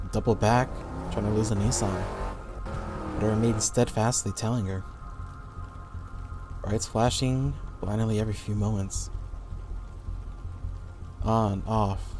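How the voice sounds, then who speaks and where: A man's voice: lowish voice, fairly even intonation, measured pace, pauses often, darkish tone. A man reading a scripted horror story as the narrator of an audio play. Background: background music.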